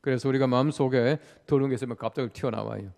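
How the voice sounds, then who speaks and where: A man's voice: mid-range voice, steady tone, rapid-fire, vocal fry, a little mellow. An older man preaching a dawn sermon in a conversational way, with stories and rhetorical questions, in a large church sanctuary. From Gyeongsang region of Korea. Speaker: pastor. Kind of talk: sermon at a dawn prayer service. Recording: long church hall reverb.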